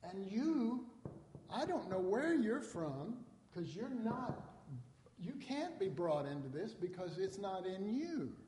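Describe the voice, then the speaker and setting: A man's voice: sits high, very animated, slow pace, dark tone, in a large hall, slight background noise. A man preaching a sermon, reading scripture and explaining it in a conversational way, acting out quotes with rising emphasis.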